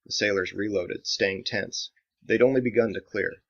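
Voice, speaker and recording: A man's voice: on the low side, moderate pace, gravelly, fairly bright tone. A man reading his own dramatic action novel as scripted audiobook narration, in a slightly dull recording.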